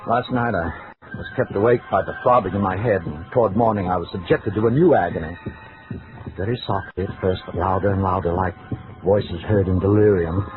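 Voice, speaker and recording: A man's voice: low voice, brisk, close to an everyday voice. An actor playing a cold, precise, increasingly tormented scholar who narrates his murder journal in formal, self-important diction in an old radio drama. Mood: suffering, oppressed. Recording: vintage radio, narrow band, music behind.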